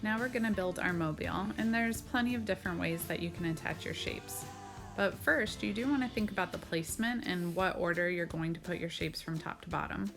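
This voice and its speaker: A woman's voice: fairly low pitch, a little fast, gravelly. A woman art teacher narrating a children's craft tutorial, explaining simply and step by step. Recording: music behind the voice. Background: background music.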